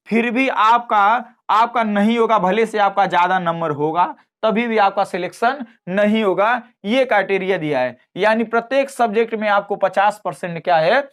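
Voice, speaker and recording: A man's voice: sits high, fairly bright tone. A man teaching an online exam lesson, repeating phrases and checking with short questions as he explains.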